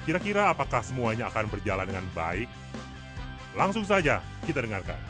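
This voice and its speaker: A man's voice: medium pitch, sing-song, a little fast, music in the background. A man narrating an episode recap for a radio drama in a scripted storytelling style.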